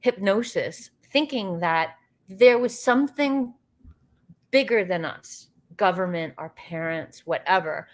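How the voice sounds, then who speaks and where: A woman from the United States, a guest in a casual interview, telling animated, rambling stories with many fillers and restarts. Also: mid-range voice, slow. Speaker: interview guest, actress and filmmaker.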